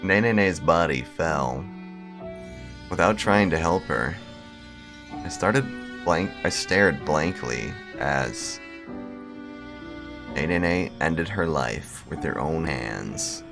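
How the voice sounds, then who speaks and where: A man reading the narration of a numb, morbid young man in a horror visual novel, solemn and measured. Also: a bass voice, expressive, gravelly, over music, exaggerated voice. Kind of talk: scripted narration read aloud.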